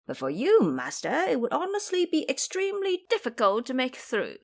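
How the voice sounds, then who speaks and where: Cocky male monkey hero for audio drama, played by a woman: high, theatrical, boastful, very animated. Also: normal speed, full character voice. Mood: cocky concern. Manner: theatrical, boastful, addressing elders and juniors.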